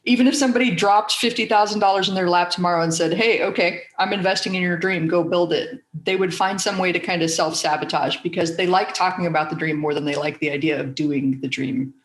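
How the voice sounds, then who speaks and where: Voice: medium pitch, fast talker, hardly any pauses, fairly bright tone. A podcast host with a soothing voice chatting candidly and animatedly, swearing casually now and then. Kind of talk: casual conversation. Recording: echoey room.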